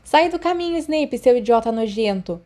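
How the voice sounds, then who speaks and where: A woman's voice: fairly high pitch, animated, normal speed, a little mellow, voice pushed up, lightly acted. A woman voicing a manic, aggressive teenage boy wizard who barks insults, in a Brazilian audiobook.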